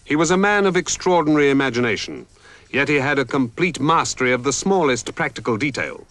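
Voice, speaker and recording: A man giving measured, dramatic scripted documentary narration. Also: medium pitch, lively intonation, fairly bright tone. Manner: measured, dramatic storytelling delivery.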